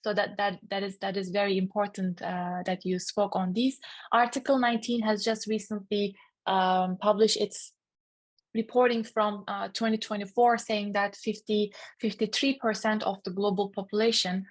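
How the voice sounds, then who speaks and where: A woman moderating a discussion in formal remarks, often pausing mid-sentence as she goes. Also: mid-range voice, steady tone. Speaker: webinar moderator.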